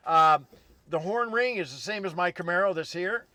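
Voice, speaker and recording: A man's voice: sits high, normal speed, pushed and bright. A man co-hosting as a car expert, giving casual hands-on commentary in short, repeated admiring phrases. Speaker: car show co-host, car expert.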